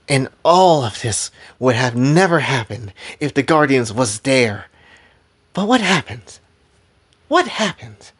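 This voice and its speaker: A man voicing a bitter, righteous female villain in a told story, with dramatic, brooding pauses. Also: on the high side, fairly bright tone, husky, heavily acted. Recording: music behind the voice.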